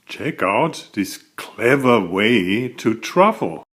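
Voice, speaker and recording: A man reading a children's story aloud as a narrator, in a deliberate, dramatic storytelling manner. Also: medium pitch, big pitch swings, fairly bright tone, slightly rough. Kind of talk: expressive scripted storybook narration.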